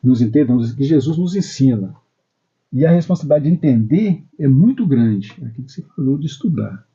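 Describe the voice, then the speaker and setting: A man's voice: mid-range voice, animated, brisk, dark tone, breathy edge, highs cut off. A man hosting a religious talk program in a spiritist center, speaking in a casual, storytelling way with frequent tag questions. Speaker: program host.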